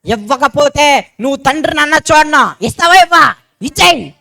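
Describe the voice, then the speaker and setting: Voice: sits high, lively intonation, a little fast, creaky, bright, forceful tone, exaggerated voice. A performer voicing a spoiled, impatient young son in a children's puppet show, whining and insisting on what is wanted. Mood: demanding, impatient. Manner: whiny, insistent, repetitive demanding.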